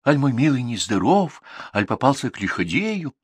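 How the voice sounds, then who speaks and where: Man playing a little humpbacked horse, gentle, coaxing, slow singsong telling, close dry sound. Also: medium pitch, sing-song, dry recording, pitched above the performer's own voice, heavily acted. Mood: gentle, wise.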